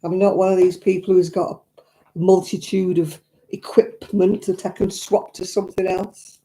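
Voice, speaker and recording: Croaky older woman chatting. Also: on the low side, little pitch movement, moderate pace, warm tone. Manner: chatty, rambling, greets viewers. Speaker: crafting livestream host. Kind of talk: casual chat to live viewers. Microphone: own simple mic, live stream. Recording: crackly audio.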